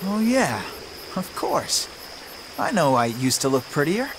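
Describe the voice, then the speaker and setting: A man's voice: on the high side, sing-song, a little slow, noisy background. An actor playing a friendly, caring, slightly awkward teenage boy hero in a game cutscene, casual and earnest. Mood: reflective. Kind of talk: video game cutscene acting.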